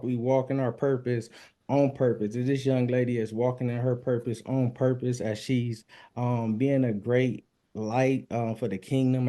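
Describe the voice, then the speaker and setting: Mellow-voiced man hosting a faith interview. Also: medium pitch. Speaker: interview show host. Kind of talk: faith-based interview chat. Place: remote online call.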